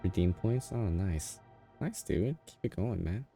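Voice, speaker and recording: A male game streamer with a low, relaxed voice that moves around a lot. He chats casually and slangily, reacting to gameplay. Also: a little slow, vocal fry, faint music behind. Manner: slangy, offhand. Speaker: game livestreamer.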